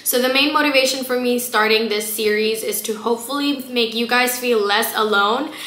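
Bright-voiced expressive young American woman. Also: on the high side, normal speed. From South Florida, immigrant from the Philippines. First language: a language other than English. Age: 20s. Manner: expressive, slangy, emphatic. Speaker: vlogger. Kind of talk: casual chat to camera. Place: small bare room indoors. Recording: echoey room.

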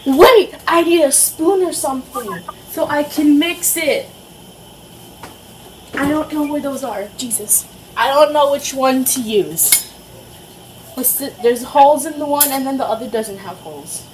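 A young woman with an excitable, childlike, playful way of talking, chatting casually as she cooks for a vlog. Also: high-pitched, unhurried, background noise. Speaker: amateur cooking vlogger. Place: home kitchen, indoors.

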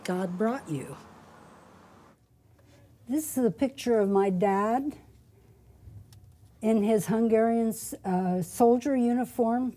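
An older woman in an interview, gently explaining family pictures. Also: fairly high pitch, slow, pauses often.

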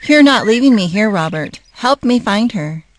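A woman's voice: a little high, lively intonation, unhurried, slight background noise. A woman playing an irritable, cutting divorced mother in an audio drama, curt and scolding. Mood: exasperated. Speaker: voice actor.

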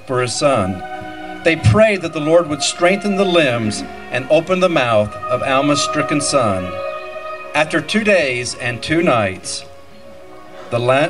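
A middle-aged man reading scripted scripture narration on an outdoor stage. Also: a little high. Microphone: handheld mic, filmed from audience. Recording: distant outdoor stage mic with music.

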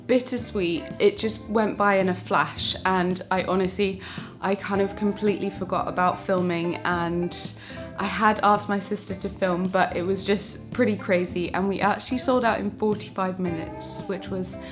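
A young woman chats straight to camera in a small bakery kitchen, rambling warmly with plenty of "um"s and restarts. Her voice sits at an ordinary middle pitch, and soft music plays under her. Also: steady tone, moderate pace. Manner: chatty, warm-hearted, many fillers and restarts. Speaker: vlogger and small bakery owner. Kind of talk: casual vlog talk to camera.

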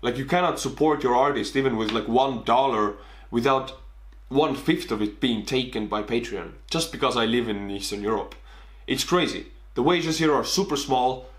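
A man's voice: medium pitch, moderate pace, echoey room. A young man in his twenties with a thick Estonian accent, chatting animatedly to camera in a casual vlog from his bedroom. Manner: animated, big hand gestures, bursts of excitement. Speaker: YouTuber. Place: small bedroom indoors. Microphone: camera on desk.

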